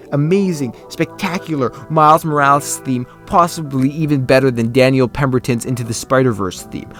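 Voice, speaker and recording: A man's voice: fairly high pitch, a bit bright. Stuffy-nosed young male game reviewer. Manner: enthusiastic, opinionated. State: congested, stuffy nose. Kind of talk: scripted video review narration.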